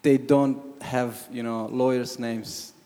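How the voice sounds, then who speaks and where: A man from Croatia, commenting from the audience at a panel discussion, halting with many restarts. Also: medium pitch, steady tone, dark tone. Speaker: audience member. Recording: long hall reverb.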